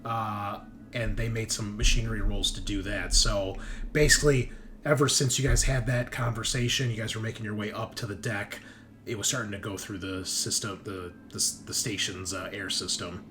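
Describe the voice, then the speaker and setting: A man's voice: lowish voice, steady tone, moderate pace, bright, forceful tone. A man running a tabletop game as game master, narrating the story in a casual but dramatic way. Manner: casual recapping with dramatic read-out passages. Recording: music behind the voice.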